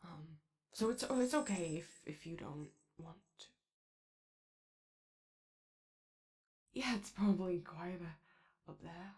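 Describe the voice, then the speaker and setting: Woman acting as anxious kind robot, ASMR roleplay, lowish pitch, very animated, stammering and apologetic. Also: normal speed. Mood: shy, flustered. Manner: hesitant, stammering, rambling, nervously apologetic. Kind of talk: ASMR character roleplay, soft conversational.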